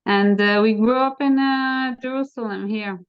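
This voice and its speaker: A middle-aged woman with an American accent, a guest in an interview, speaking haltingly with many fillers and restarts. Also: on the high side, fairly even intonation, clean tone. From United States. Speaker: interview guest, bereaved mother. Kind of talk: casual conversation. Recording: dull lo-fi sound.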